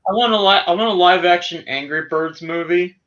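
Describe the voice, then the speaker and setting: A man's voice: sits high, fairly quick, fairly bright tone, highs cut off. A man co-hosting a casual podcast, joking and repeating his own bits. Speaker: podcast co-host. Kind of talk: casual group chat.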